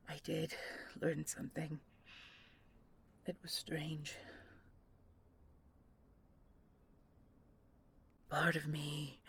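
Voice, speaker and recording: A woman playing a bitter, guarded deposed spy commandant in an audio roleplay, husky and gravelly, with a halting, defensive delivery. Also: low-pitched, fairly bright tone, a little hiss or hum, full character voice. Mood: shaken, subdued.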